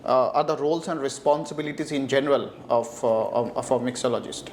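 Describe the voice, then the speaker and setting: A man's voice: mid-range voice, fairly quick, a little mellow, roomy sound. A man hosting an interview, asking questions hesitantly with many fillers like "uh, you know.". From India. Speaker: host. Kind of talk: interviewing a guest.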